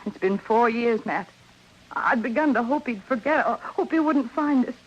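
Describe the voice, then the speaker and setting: Anxious, pleading frontier wife. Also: female voice, a little high, animated, normal speed, breathy edge. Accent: American. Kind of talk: old-time radio melodrama acting. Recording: muffled old radio, faint hiss.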